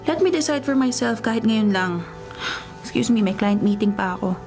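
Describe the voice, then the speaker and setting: A woman's voice: medium pitch, expressive, normal speed, music in the background, close to an everyday voice. An actress playing an exasperated young professional woman, polite to elders, in a radio drama with a Filipino accent. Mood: exasperated, firm. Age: young adult. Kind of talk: Tagalog radio drama acting.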